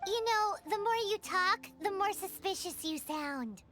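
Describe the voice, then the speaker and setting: A woman voicing a small, cheeky, excitable fairy companion in a video game, with a squeaky childlike voice. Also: measured pace. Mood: indignant. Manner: refers to self in third person, exclamatory. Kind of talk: video game character acting. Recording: music behind.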